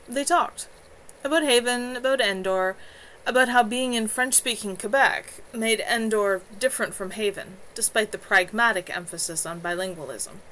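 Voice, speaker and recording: A woman reading her own novel aloud from home, telling the story with expression. Her voice is bright and swings widely in pitch, with a faint hiss behind it. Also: poor audio.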